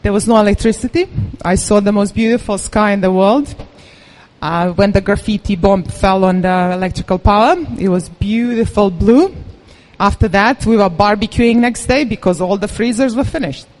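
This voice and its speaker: A woman's voice: mid-range voice, normal speed, a little mellow. A woman from Serbia with a Serbian accent, giving a candid, humorous personal talk to a live audience as a guest speaker. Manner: nervous, candid, self-deprecating humor. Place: gym event space.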